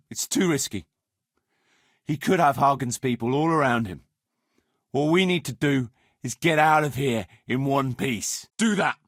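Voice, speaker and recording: A man playing a rough, foul-mouthed medieval soldier in a video game, speaking bluntly and earthily as the leader of a hard-drinking band. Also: mid-range voice, fairly bright tone, no room sound, studio quality, heavily acted. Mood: tense, angry. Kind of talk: video game dialogue acting.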